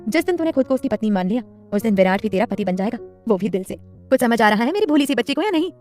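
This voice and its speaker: A woman's voice: on the high side, very animated, quick pace, darkish tone, soft background music. A woman voicing an affectionate middle-aged mother-in-law who gives tender, emphatic advice in an audio story. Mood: gentle advice.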